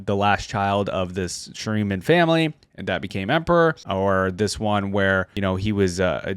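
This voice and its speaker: Man with lively pitch, reacting and reading lore. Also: no room sound. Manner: informal, conversational asides. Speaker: reaction video host reading game lore aloud. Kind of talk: casual reaction commentary mixed with story read-aloud.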